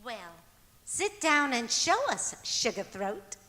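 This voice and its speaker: A chirpy, scatterbrained radio-comedy wife, acted by a woman, very high and bright. She swoops through her lines with earnest, daffy innocence, in an auditorium with long reverb. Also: sing-song, slow pace, full character voice. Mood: innocently daffy. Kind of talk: old-time radio comedy acting on stage. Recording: echoey auditorium stage recording.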